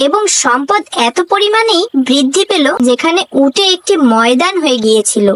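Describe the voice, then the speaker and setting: A woman's voice: sits high, sing-song, normal speed, fairly bright tone, no echo. A woman narrating a children's cartoon fable in a scripted storybook style.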